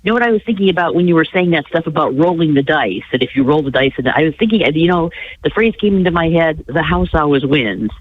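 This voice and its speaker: A woman co-hosting a radio chat with a stuffy nose from a cold, rambling with halting restarts and frequent chuckles. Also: sits low, lively intonation, a little hiss or hum. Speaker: radio show co-host. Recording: tinny phone line.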